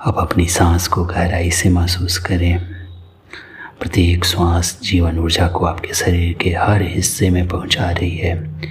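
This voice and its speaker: A man narrating a guided meditation in a calm, soothing, unhurried way, with soft breathy edges to his voice. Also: sits low, background noise.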